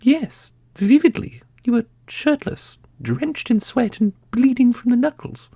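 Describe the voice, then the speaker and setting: Man voicing a very high, gentle ancient custodian spirit. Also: unhurried, vocal fry, breathy edge, no echo, exaggerated voice. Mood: wistful. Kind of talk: ASMR fantasy roleplay acting.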